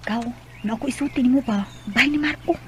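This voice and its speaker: Hesitant young Cebuano girl confidante, female actor, high voice, radio drama. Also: fast, lightly acted. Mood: hesitant, confiding. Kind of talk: Bisaya radio drama acting.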